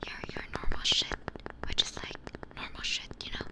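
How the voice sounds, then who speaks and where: A woman whispering breathily in a playful, rambling way, chatting casually as an ASMR streamer close to a binaural microphone. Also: normal speed. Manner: playful, rambling, repeats phrases. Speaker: ASMR livestreamer chatting with viewers.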